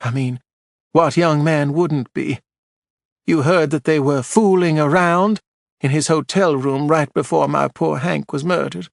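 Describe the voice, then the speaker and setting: A man's voice: measured pace, gravelly, dry recording, studio quality. A man voicing an indignant, haughty older widow testifying on a witness stand. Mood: gossipy. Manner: shrill, imperious, snappish.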